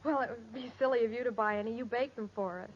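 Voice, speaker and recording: A woman's voice: a little high, fairly even intonation, quick pace, loud music behind the voice, lightly acted. An actress playing a polite, frank young Girl Scout in a 1940s radio comedy. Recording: muffled, very noisy old radio recording.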